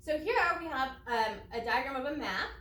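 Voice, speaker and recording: A woman teacher reading aloud to children and explaining each step in a deliberate, instructive way. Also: high voice, bright, forceful tone. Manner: deliberate, explanatory, spelling out steps for young pupils. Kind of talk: children's read-aloud lesson with think-aloud commentary. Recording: roomy echo, distant from microphone.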